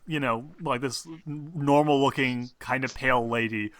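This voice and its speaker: A man's voice: mid-range voice, fairly even intonation, moderate pace, a bit bright, no room sound. A man acting as game master, narrating and bantering casually and informally, with some swearing. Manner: informal, profane.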